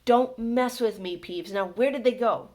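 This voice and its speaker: A woman's voice: a little high, a little slow, a little mellow, close to an everyday voice. A woman voicing an irate, impatient male school caretaker, curt and demanding, for an audiobook.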